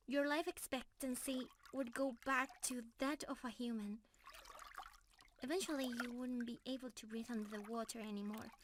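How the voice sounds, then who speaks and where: A woman's voice: high voice, a little hiss or hum. A woman playing a shy, sweet young mermaid in love, speaking earnestly to one listener in an ASMR romance roleplay. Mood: tender, earnest. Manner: earnest, bashful, explaining gently to one listener.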